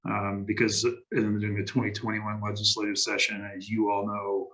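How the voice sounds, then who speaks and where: Low-voiced man moderating, bright tone. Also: completely flat delivery. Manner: halting, with many restarts and fillers. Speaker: health officer and moderator. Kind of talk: panel moderation.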